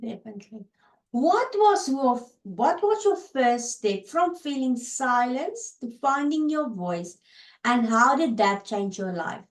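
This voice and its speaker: A woman with an Afrikaans accent, a native Afrikaans speaker, hosting an interview and asking measured questions. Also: fairly high pitch, sing-song, reverberant, poor audio. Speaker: podcast host.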